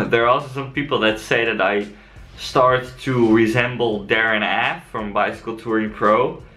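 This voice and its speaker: A young Dutch man with a Dutch accent, answering viewer questions in a relaxed, chatty vlog. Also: medium pitch, a little slow, soft background music, poor audio. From Netherlands. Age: young adult. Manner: relaxed and chatty, frequent fillers. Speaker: cycle-touring vlogger. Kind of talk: casual vlog Q&A.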